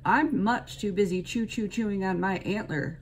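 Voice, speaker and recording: A woman's voice: medium pitch, moderate pace, a little hiss or hum, natural voice. Woman doing a sputtering grumpy porcupine. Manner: sputtering, stammering.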